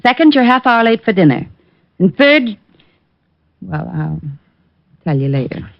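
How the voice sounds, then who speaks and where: Lilting, animated detective's sweetheart. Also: female voice, medium pitch, measured pace, vocal fry, no room sound. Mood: coy, mock scolding. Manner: coy, teasing, lilting. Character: playful, teasing sweetheart of a detective. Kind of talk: 1940s radio acting. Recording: dull old radio recording.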